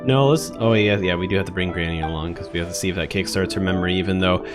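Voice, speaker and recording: A deep-voiced man streams a game and reacts casually as he goes. He drops playful, sarcastic asides over the game's music. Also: fast. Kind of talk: casual live commentary.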